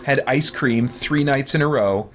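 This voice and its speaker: A man's voice: mid-range voice, unhurried, fairly bright tone. An American man chatting casually as host of a video game livestream, often thinking aloud. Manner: talks to himself while playing, thinking aloud. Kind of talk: casual rambling livestream chat. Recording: background music under the voice.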